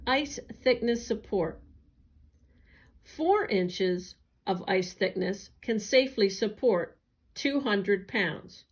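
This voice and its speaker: A woman reading an educational newsletter aloud in careful, clearly separated phrases. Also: mid-range voice. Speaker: agency staff reader.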